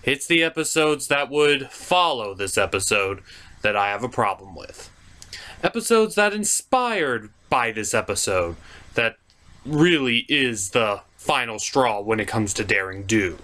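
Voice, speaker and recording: A man narrates a scripted comedy review as the show's host. His pitch swings widely from phrase to phrase, and his delivery is theatrical, with a put-upon, exasperated air. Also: animated, a little slow, pushed and bright. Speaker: host of a puppet cartoon review show.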